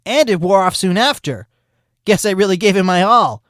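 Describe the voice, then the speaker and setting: A man voicing a hyperactive, boastful sloth fitness instructor in a fan fiction reading, peppy and shouty. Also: high voice, lively intonation, moderate pace, no room sound, clean studio sound, voice pushed up. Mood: boastful, energetic.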